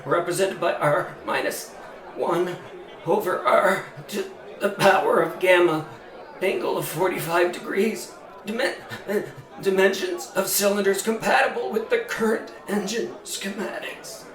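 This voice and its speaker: An earnest music-hall showman played by a man with a notably high voice. He speaks formally and precisely, as if on stage in an audio play. The sound is echoey and set back from the microphone. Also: measured pace, fairly bright tone. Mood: labored, earnest. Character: male music-hall memory act performer, formal, showy, earnest. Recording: echoey, distant from the mic.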